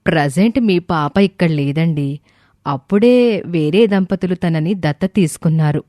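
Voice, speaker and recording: A cautious, compassionate orphanage director, acted by a woman in an audio moral story. She is measured and polite, with a soft-toned, mellow voice and a dry recording. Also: fairly low pitch, no echo, voice pushed down, natural voice. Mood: torn, concerned. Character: cautious, questioning, compassionate female orphanage head.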